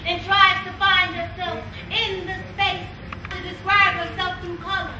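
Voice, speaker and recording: Grave lady narrator, slow poetic stage monologue, super echoey, mic far away. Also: very high voice, little pitch movement, background noise. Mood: grave, emphatic. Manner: slow, measured, emphatic poetic delivery. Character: grave female narrator of a nameless woman's ordeal. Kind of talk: theatrical spoken-word monologue.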